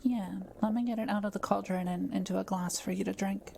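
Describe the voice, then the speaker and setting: A woman playing a kind, patient witch in an intimate audio roleplay, explaining things gently and without hurry to one listener. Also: mid-range voice, fairly even intonation, brisk, gravelly, a little hiss or hum, natural voice. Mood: careful, earnest. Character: kind, quiet-living forest witch, patient and scholarly.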